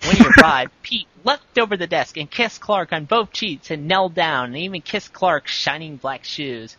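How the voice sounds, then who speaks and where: A man's voice: a little high, expressive, moderate pace, dull audio, very noisy. A man giving a comic dramatic reading of fan fiction with casual asides, in an exaggerated, theatrical delivery.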